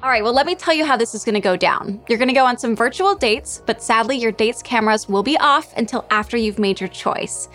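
A woman hosting a podcast, bubbly and quick to laugh. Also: fairly high pitch, lively intonation, a bit bright, faint music behind. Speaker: podcast host. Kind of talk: upbeat hosting.

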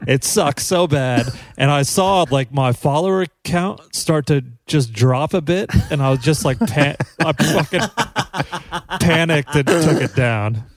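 A man's voice: a little high, unhurried. A man hosting a casual podcast chat, jaded and self-deprecating, laughing through his stories. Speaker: podcast host.

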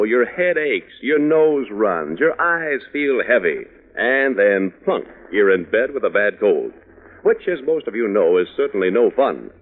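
A male announcer chats to youngsters in folksy old radio commercial style. His pitch bounces freely, and the sound is narrow and muffled like an old broadcast. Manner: chummy, folksy, addressing youngsters. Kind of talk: 1940s radio commercial patter.

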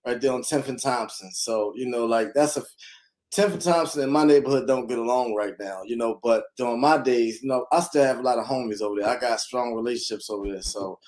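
Relaxed man telling his story. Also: mid-range voice, brisk. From North Philadelphia, USA. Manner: relaxed, rambling, lots of fillers. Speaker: guest, real estate investor and lender. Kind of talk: casual interview storytelling.

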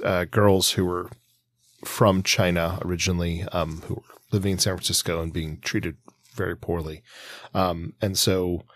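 Low-voiced man thinking aloud, dry sound. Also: dry recording. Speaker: podcast co-host. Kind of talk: casual analytical discussion.

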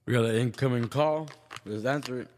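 A man's voice: medium pitch, fairly quick, darkish tone, a little hiss or hum. A man hosting a radio call-in show, chatting casually with listeners.

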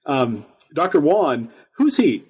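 A man hosting an interview, asking casual questions and giving quick affirmations. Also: fairly high pitch, sing-song, darkish tone, husky, low-quality recording. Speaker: interview host. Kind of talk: conversational interview.

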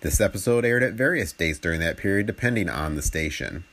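Deep-voiced, animated man reading podcast narration. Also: a little fast. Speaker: podcast host. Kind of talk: scripted narration.

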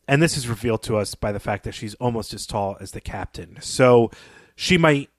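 A man co-hosting a podcast, discussing fiction conversationally in a measured, analytical manner. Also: lowish voice, no echo, studio quality. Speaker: podcast co-host.

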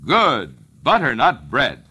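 Very animated vintage radio ad announcer, male. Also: mid-range voice, slow pace, breathy edge, faint music behind. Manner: emphatic, polished. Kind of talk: 1940s-50s scripted radio sales pitch.

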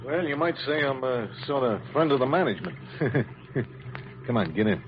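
An actor playing a cocky, mocking hired thug who bosses people around in an old-time radio drama. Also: male voice, mid-range voice, expressive, normal speed, close to an everyday voice. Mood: menacing, smug. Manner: mocking, wisecracking, bossy, chuckling. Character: cocky, sneering hired gunman, taunting thug. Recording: muffled, faintly noisy old radio recording.

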